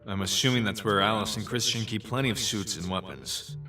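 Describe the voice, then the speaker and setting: A man playing a wary, low-key detective inspector in an audio crime drama, partly narrating his investigation. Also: brisk, music in the background, close to an everyday voice. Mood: pensive narration.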